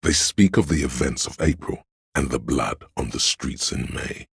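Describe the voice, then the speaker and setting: An actor playing a grave, all-knowing narrator in a video game, delivering literary police drama in a solemn, deliberate way with a gravelly, husky voice. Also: male voice, a bass voice, a bit bright, no room sound, clean studio sound, full character voice. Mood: solemn, brooding. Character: grave, omniscient male narrator voice of police camaraderie.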